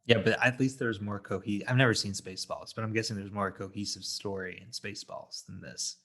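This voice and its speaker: A man co-hosting a casual film review podcast, talking haltingly as he searches for words. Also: lowish voice, fairly even intonation, fairly bright tone. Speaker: podcast co-host.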